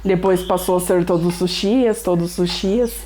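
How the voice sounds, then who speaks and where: A woman's voice: fairly low pitch, steady tone, moderate pace, mellow, slight background noise. A young Brazilian woman gives a reflective personal testimony, often ending phrases with tag questions. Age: young adult. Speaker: resident sharing her testimony.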